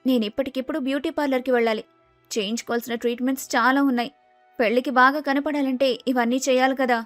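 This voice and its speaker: An excitable, vain young bride-to-be played by a woman in a storybook story. Her voice is very high, insistent and impatient, recorded dry with soft music underneath. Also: fast talker, dry recording, close to an everyday voice. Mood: eager, demanding. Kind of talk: acted storybook dialogue.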